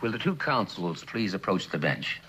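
An actor playing a grave, authoritative judge speaking formally in a 1960s television courtroom drama. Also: male voice, low-pitched, very animated, moderate pace, pushed and bright, slight background noise, heavily acted, low-quality recording. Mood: grave, official.

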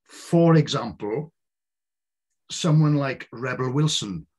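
A man's voice: mid-range voice, takes it slowly, darkish tone, breathy edge. A man, a podcast host asking questions with many restarts over a video call. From Wales. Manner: hesitant, stumbling restarts when asking. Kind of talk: casual interview chat. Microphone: desk microphone over video call.

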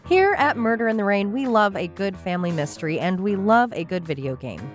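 A woman's voice: medium pitch, lively intonation, fairly quick, soft background music. A woman hosting a podcast, reading a scripted sponsor advertisement. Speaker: podcast host. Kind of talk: scripted ad read.

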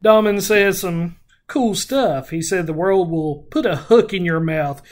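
Podcast host doing a casual book recap, medium pitched voice. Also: a little slow.

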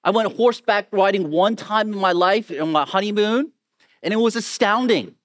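A man in his forties preaching a sermon as a pastor, measured and emphatic, repeating key phrases. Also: high-pitched, a bit bright. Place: on stage in a church auditorium. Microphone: headset mic.

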